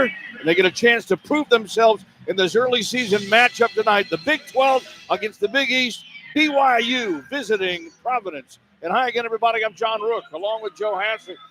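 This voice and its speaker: A man's voice: very high voice, a bit bright, a little hiss or hum, low-quality recording. An American man hosting a sports radio pregame broadcast as play-by-play announcer, with a polished delivery.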